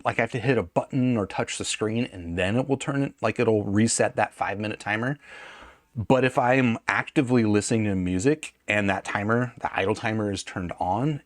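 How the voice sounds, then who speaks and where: Guy with a lowish voice doing a casual gadget review, kinda rambling, really dry sound. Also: no echo. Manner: rambling, conversational, thinking aloud. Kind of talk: casual hands-on product review.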